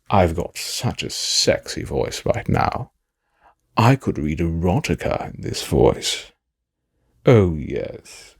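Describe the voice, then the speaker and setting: A man's voice: sits low, vocal fry, slightly rough, exaggerated voice. A man playing a sassy, self-absorbed fantasy villain in an audio drama, with a theatrical, drawling and melodramatic delivery. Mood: smug, playful. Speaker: fan audio drama voice actor and narrator. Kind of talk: theatrical in-character outtakes.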